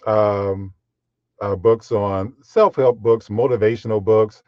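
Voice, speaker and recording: A deep-voiced man sits for a podcast interview about his voiceover work. He talks in a measured, unhurried way, with plenty of fillers. His low voice comes through slightly muffled and very dry. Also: steady tone, no room sound. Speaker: guest voiceover artist.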